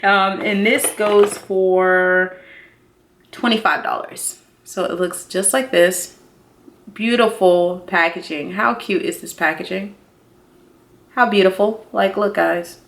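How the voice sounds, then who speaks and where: A woman in her thirties chatting casually and excitedly to viewers as a beauty vlogger, expressive and slangy, repeating herself for emphasis. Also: lowish voice. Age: 30s. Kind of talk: casual excited unboxing chat.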